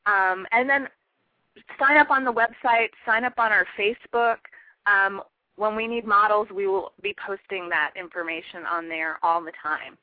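A woman's voice: medium pitch, normal speed, no room sound. A woman speaking casually as an interview guest who runs a shop. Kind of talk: casual chat. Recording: muffled radio-like audio, dry.

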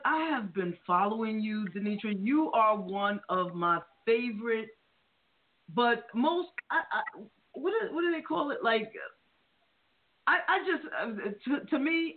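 A woman hosting a casual radio talk show, gushing and excitable as she introduces and praises her guest. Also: on the high side, unhurried. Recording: tinny phone-like audio.

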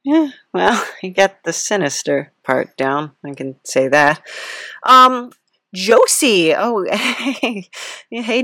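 A woman hosting a casual comedic interview, speaking in a hesitant, halting, giggly way. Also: medium pitch, fairly bright tone, dry recording. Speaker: podcast host, interviewer.

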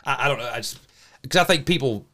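A man who hosts radio, chatting casually in an interview, low-key and self-deprecating with frequent laughs. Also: animated, quick pace, pushed and bright, slight background noise. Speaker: radio host.

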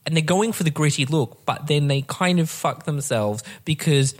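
A man's voice: on the high side, normal speed. A man hosting a podcast, talking casually about films in an opinionated, emphatic way with some swearing. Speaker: podcast host. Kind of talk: casual film discussion.

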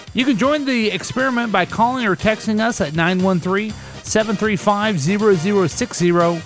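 A man hosts a loose, comedic radio chat show, wandering off track and stopping to correct himself. His voice sits a bit high for a man, and faint music hums underneath. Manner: rambling, self-correcting. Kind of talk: casual comedic radio banter.

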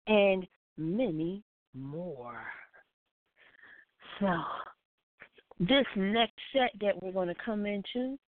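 A woman's voice: mid-range voice, no echo. A woman hosting a casual radio music show, announcing in an informal, conversational way. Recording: thin phone-like line.

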